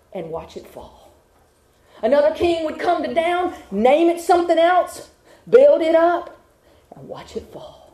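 A woman's voice: high voice, a little mellow. A woman preaching a sermon to a congregation, building her points with rhythmic repetition. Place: church with a congregation. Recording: echoey room.

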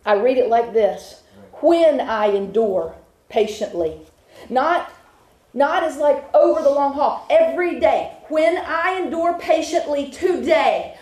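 A woman's voice: high voice, a little mellow. A woman preaching a sermon to a congregation, building her points with rhythmic repetition. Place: church with a congregation. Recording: echoey room.

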